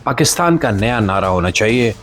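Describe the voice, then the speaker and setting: A man's voice: lowish voice, animated, moderate pace, a bit bright, soft background music. A man with a Pakistani accent hosting a satirical news show, speaking to the audience in a sarcastic, theatrical monologue.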